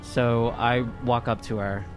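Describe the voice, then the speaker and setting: A man's voice: mid-range voice, level tone, unhurried. Man playing a tabletop game over music. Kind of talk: casual in-game chat. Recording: loud music bed under the voice. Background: background music.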